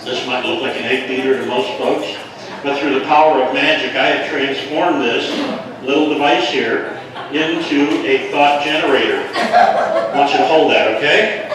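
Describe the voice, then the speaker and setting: A man's voice: mid-range voice, moderate pace, a crowd in the background. Playful male stage magician in an echoey room. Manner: instructing a volunteer, playful. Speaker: comedy magician and performer. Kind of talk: live stage magic patter. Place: live venue with audience, recorded from afar. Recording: echoey room, distant mic, background noise.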